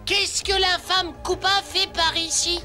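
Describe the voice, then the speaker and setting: An earnest cartoon princess. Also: female voice, extremely high pitch, flat delivery, unhurried, music in the background. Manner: earnest, sceptical. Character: young princess, sensible and earnest. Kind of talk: French cartoon dub.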